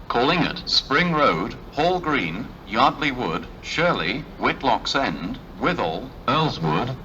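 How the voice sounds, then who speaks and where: Man, station announcement voice, slow, bright, lively intonation, platform speaker, faint station ambience. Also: medium pitch, highs cut off, slight background noise. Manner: measured, clearly separated delivery. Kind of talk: recorded train announcements.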